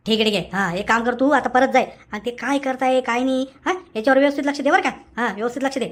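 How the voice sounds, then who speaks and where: A cartoon gang boss, acted out by a performer, with a high-pitched voice and a cocky, bossy drawl. The boss scolds and commands suspiciously, tacking little questioning grunts onto the orders. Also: rapid-fire, fairly bright tone. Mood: scolding, bossy. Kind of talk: comic cartoon dialogue in Marathi mixed with street Hindi.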